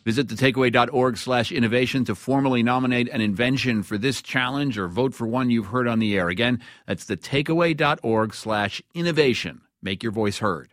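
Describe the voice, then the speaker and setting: A man's voice: medium pitch, brisk, hardly any pauses, no room sound. An American man hosting a radio show in a conversational, scripted style.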